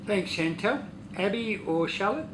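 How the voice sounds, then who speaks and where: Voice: medium pitch, animated, measured pace. An interviewer, putting simple guiding questions to students. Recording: clear background noise.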